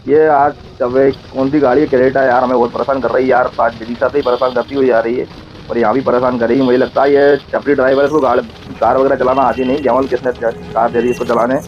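A man's voice: mid-range voice, little pitch movement, quick pace, lo-fi sound, slight background noise. A man with a central Indian accent giving casual, chatty running commentary as a motovlogger while riding, often repeating himself and addressing viewers as friends. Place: outdoors on a motorbike on a road.

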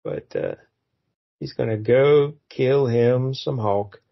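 A man's voice: mid-range voice, takes it slowly, vocal fry, muffled recording. A man co-hosting a podcast, chatting casually about a comic book with lots of fillers and chuckles. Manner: rambling, many fillers, chuckles. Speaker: podcast co-host.

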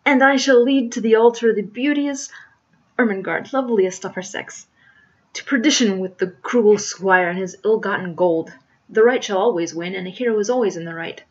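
A woman's voice: medium pitch, moderate pace, muffled recording, close to an everyday voice. A woman voicing an earnest young male melodrama hero, florid and self-important, declaiming with grand romance in a storybook reading. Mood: heroic, defiant.

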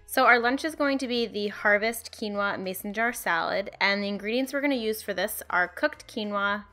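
A woman's voice: medium pitch, normal speed, bright, forceful tone, soft background music. A young woman in her twenties, a cooking channel host talking viewers through recipes in a friendly, chatty way from her home kitchen. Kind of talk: upbeat recipe walkthrough.

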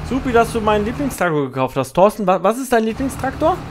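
A man's voice: high-pitched, very animated, fast talker, noisy background. A man, streaming a farming game live, greeting viewers and answering chat as he plays. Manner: chatty, answers chat messages while playing.

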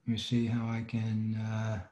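A man giving an informal talk as a club presenter on a video call, halting and hesitant. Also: fairly low pitch, monotone, dark tone, slight background noise. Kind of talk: halting informal lecture over slides. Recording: roomy video-call audio.

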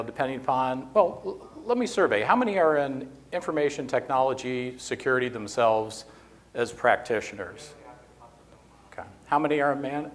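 Man giving a chatty conference talk. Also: mid-range voice. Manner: conversational, with many "you know" fillers. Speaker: conference speaker. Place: conference room, indoors. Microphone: podium mic, standing beside lectern.

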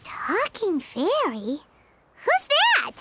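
A woman voicing a tiny talking fairy girl, childlike, bubbly and excitable, in a video game. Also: drawn-out words, heavy background noise, exaggerated voice. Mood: puzzled. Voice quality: squeaky, childlike. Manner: bubbly, refers to herself by name.

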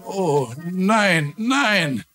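A man's voice: high-pitched, very animated, extremely slow, pushed and bright, soft background music, full character voice. An actor playing a courteous but easily vexed man in a dubbed TV crime drama. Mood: annoyed.

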